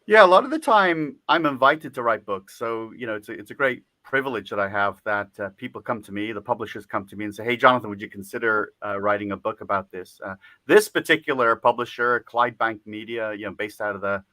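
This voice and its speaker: A man's voice: on the low side, fast, sharp tone, dry recording. A man being interviewed as an author, speaking casually with lots of fillers, restarts and little laughs. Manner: chatty, many fillers and restarts, laughs often. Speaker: guest author.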